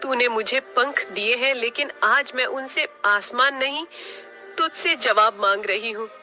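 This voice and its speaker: A woman's voice: fairly high pitch, unhurried, pushed and bright, soft background music. A woman voicing an anguished, defiant mother bird in a cartoon story, with impassioned, dramatic delivery. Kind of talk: Hindi cartoon story acting.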